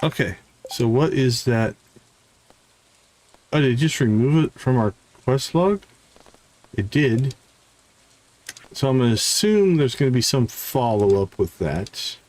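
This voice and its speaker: A man giving casual live video game commentary, chatting and thinking out loud. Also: medium pitch, expressive, measured pace, pauses often, low-quality recording. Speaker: gaming streamer.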